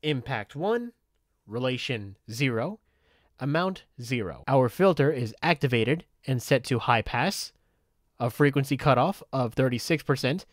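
A man's voice: medium pitch, lively intonation, unhurried, no echo. Man narrating a tutorial, dry close sound. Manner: methodical, instructional. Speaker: tutorial presenter. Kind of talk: step-by-step software tutorial narration.

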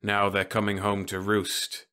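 A glum drunk slumped at a bar, as a male narrator reads him, his low voice heavy and a little slurred. It stays near the reader's own tone, gloomy and unhurried. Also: monotone, bright, forceful tone, clean tone.

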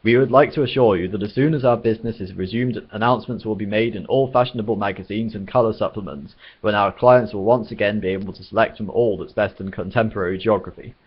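A man narrating puts on an old storybook announcer, courteous and formal with quiet menace under every phrase. The voice is low and somewhat husky, on a slightly dull recording. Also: fast talker, hardly any pauses. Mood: sharper politeness. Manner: formal, polite, coldly menacing.

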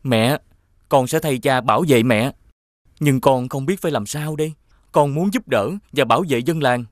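A man voicing an earnest, determined young village archer and dutiful son in an animated fairy tale. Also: medium pitch, moderate pace, dry recording, clean studio sound, close to an everyday voice.

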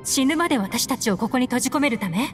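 A composed, secretive female investigator in an anime game. Her voice sits a little high and her phrasing is measured and poised. Soft music plays underneath. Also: animated. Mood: pensive. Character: composed, secretive woman investigating an ancient battle. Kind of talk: Japanese anime game dialogue.

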